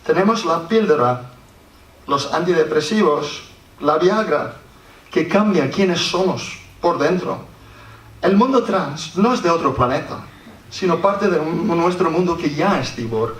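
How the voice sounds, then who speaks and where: A man's voice: fairly high pitch, lively intonation, unhurried, a bit bright. A man from Scotland with a Scottish accent, speaking Spanish as a second language, reads a prepared conference talk carefully and often restarts words. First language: English. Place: public hall. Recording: roomy hall, distant mic, faint noise.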